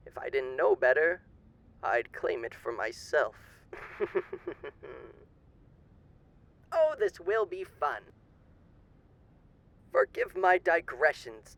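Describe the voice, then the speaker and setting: A man's voice: on the high side, a bit bright, heavily acted. A man playing a suave, sinister demon with a theatrical, condescending manner and old-fashioned genteel endearments in a roleplay monologue. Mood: intrigued, sinister.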